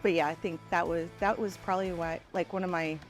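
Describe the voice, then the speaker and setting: A woman's voice: fairly low pitch, fairly even intonation, fairly quick, faint music behind. A woman, a bar and grill owner answering interview questions playfully and laughing often. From Norwood, Ohio. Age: late 40s. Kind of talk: casual interview.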